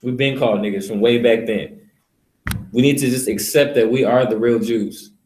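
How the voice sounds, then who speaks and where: A man's voice: mid-range voice, steady tone, normal speed, darkish tone, a little hiss or hum. Blunt rambling man in an echoey room. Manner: blunt, rambling, profane, repeats "you know". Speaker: street preacher leading a teaching session. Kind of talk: casual preaching to a small group.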